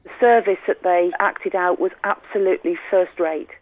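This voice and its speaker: A woman's voice: fairly low pitch, moderate pace, no echo. A woman from Shropshire, phoning in an appreciative comment. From Shropshire, England. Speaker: listener phoning in. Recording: narrow, tinny phone line.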